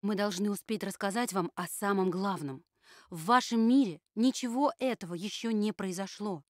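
A solemn puppet survivor , voiced by a woman, giving admonishing warnings in a level, steady voice. The sound is dry and close, with no room echo. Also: medium pitch, moderate pace, lightly acted. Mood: urgent. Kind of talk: puppet theatre performance.

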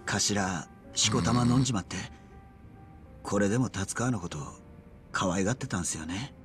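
An actor playing a young yakuza underling in a video game, casual and rough-edged, exasperated yet deferential. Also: male voice, on the low side, normal speed, faint music behind, close to an everyday voice. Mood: apologetic. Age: young adult. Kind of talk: Japanese video game voice acting.

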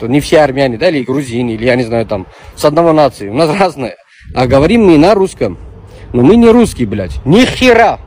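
A man's voice: fairly high pitch, darkish tone. A man in his forties from Armenia, ranting indignantly outdoors into a phone held at arm's length and swearing constantly. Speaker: storyteller in a reposted clip. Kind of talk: casual angry rant. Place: outdoors on a street.

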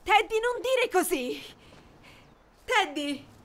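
A woman's voice: very high voice, lively intonation, takes it slowly, a bit bright, exaggerated voice. A woman voicing a headstrong, anguished young woman in a dubbed period drama, pleading and insistent. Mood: desperate. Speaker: amateur dubbing voice actor. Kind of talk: emotional film dubbing in period drama.

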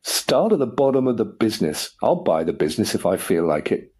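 A man voicing an excitable young male painter, anxious at times and wildly excited at others. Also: fairly low pitch, fairly quick, husky. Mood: elated.

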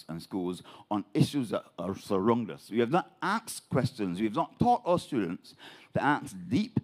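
A Barbadian man addresses a public meeting from the floor. His delivery is declaiming and emphatic, and his pitch swings up and down in a lively way. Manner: declaiming, rising to emphatic peaks. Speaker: audience member at a public meeting. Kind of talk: impassioned public speech. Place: large hall at a public meeting.

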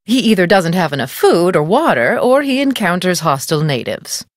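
A haughty middle-aged lady writer from a mystery game. Her voice sits a little low and swings widely in pitch, recorded close and dry. She is arch, gossipy and condescending, with a theatrical air. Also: big pitch swings, moderate pace, no room sound. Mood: dismissive, morbid relish. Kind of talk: video game character acting.

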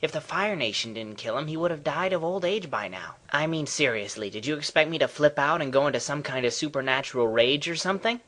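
A carefree boy hero from a cartoon parody, acted out by a man. His voice is bright and forward, and he talks in a flippant, boastful way, as if nothing could bother him. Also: on the high side, lively intonation, brisk, hardly any pauses. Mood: breezy. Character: cocky, carefree twelve-year-old boy hero. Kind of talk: comedic cartoon parody dub.

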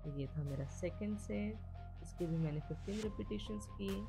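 A woman's voice: medium pitch, moderate pace, vocal fry, music in the background. Woman coaching a gym workout. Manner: explains steps and reminders directly to viewers. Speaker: fitness vlogger. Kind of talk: instructional voice-over.

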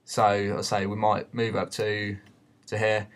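A man giving an unscripted software tutorial in a hesitant, explanatory way. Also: fairly low pitch, monotone, a little slow. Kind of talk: unscripted screen-recorded tutorial. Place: voice-over for a screen recording.

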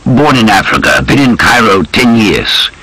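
A man's voice: lowish voice, very animated, normal speed, bright, forceful tone, husky, no room sound, voice pushed up, heavily acted, low-quality recording. A man voicing a guarded, deferential young officer. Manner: polite, hesitant, guarded, brief replies. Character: wary man posing as deferential officer, South African-raised. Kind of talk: audiobook character dialogue.

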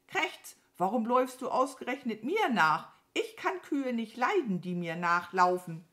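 A woman's voice: a little high, big pitch swings, unhurried, a bit bright, voice pushed up. A woman voicing a grumpy, contrary, boastful crow in a children's storybook, with a snappy, grumbling manner. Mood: irritated.

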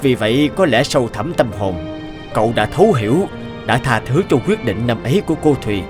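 A man voicing a calm, wise older advisor in a cartoon, gently asking probing questions. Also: mid-range voice, a little fast, lightly acted. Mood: counseling. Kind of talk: cartoon voice acting. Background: background music.

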